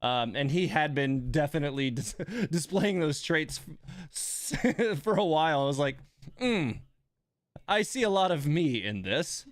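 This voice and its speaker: A man's voice: on the high side, big pitch swings, measured pace, dry recording. A man giving casual live stream commentary on a game in a rambling, amused, conversational way.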